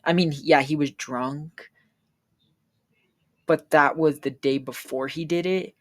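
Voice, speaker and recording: A man reading a true crime script aloud in an even, steady way, then chatting casually. He says he is losing his voice and feels like he is getting sick. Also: a little high, gravelly, dry recording. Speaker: true crime video creator. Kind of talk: read true crime narration with a casual outro.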